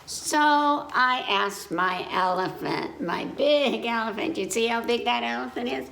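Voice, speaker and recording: Female children's story-time reader, slow and creaky-voiced, talks simply and directly to young listeners, recorded in a roomy space. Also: on the high side, a bit bright. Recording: roomy echo, faint background noise.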